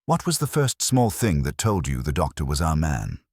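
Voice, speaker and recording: An earnest police inspector puts a question to his colleague, as a narrator reads him. The voice is extremely deep and dry, with the pitch moving freely. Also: sing-song, normal speed, a little mellow, husky, no echo, exaggerated voice. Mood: curious. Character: curious police inspector, grown man.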